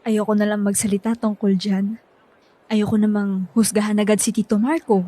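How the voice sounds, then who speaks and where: A woman's voice: mid-range voice, flat delivery, moderate pace, dark tone, close to an everyday voice. A woman playing a supportive, level-headed young girlfriend in a Filipino radio drama, earnest and easily exasperated. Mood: gentle, consoling. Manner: placating, earnest.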